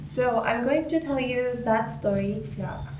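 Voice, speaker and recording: A woman's voice: fairly high pitch, measured pace, noisy background. A young woman from Korea, reading her personal faith story to her church in an earnest, careful way. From South Korea. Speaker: church member sharing testimony. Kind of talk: read personal testimony. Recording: roomy, distant from the mic.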